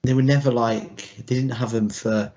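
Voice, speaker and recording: A man's voice: mid-range voice, steady tone, normal speed, gravelly, mellow. A man in his thirties co-hosting a podcast, chatting casually in a loose, rambling way. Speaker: podcast co-host. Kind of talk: casual chat. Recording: dull lo-fi podcast audio.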